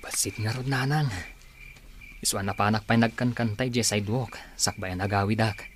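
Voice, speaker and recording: A man's voice: lowish voice, fairly even intonation, brisk, a little hiss or hum, natural voice. A man acting a dutiful, hopeful son in a radio drama. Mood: earnest. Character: dutiful, hopeful grown son with big dreams.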